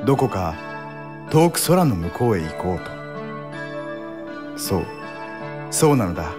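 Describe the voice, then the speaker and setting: A man's voice: sits low, sing-song, measured pace, voice pushed down. A man voicing a dreamy, wistful male announcer in a mock space-travel commercial. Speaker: mock ad narrator. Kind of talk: parody commercial voice-over. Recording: voice over loud music.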